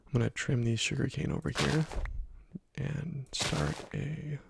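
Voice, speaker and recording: A young man hosting a gaming ASMR video, talking softly and slowly, often dropping to a whisper, with frequent ums. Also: a little high, steady tone, gravelly, noisy background. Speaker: gaming ASMR channel host. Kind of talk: soft-spoken ASMR gameplay commentary.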